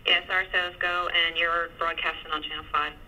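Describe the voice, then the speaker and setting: A woman's voice: on the low side, little pitch movement, brisk, pushed and bright, rough recording. A woman working as a range safety officer, speaking in matter-of-fact procedural style over radio. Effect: radio transmission.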